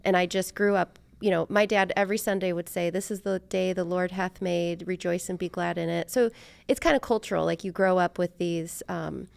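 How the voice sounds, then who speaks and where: A woman's voice: on the low side, fairly even intonation, fairly quick, creaky, darkish tone, clean tone. A middle-aged American woman hosting a podcast, talking in a chatty, informal and reflective way. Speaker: podcast host. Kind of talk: casual conversational interview.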